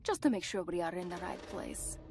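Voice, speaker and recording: A woman's voice: lowish voice, expressive, a little fast, noisy background. An actress playing a proud, sarcastic ghost companion in a video game, theatrical and teasing, drawing out her words. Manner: teasing, haughty, theatrical, drawn out. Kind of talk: dramatic video game acting. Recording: music under the voice.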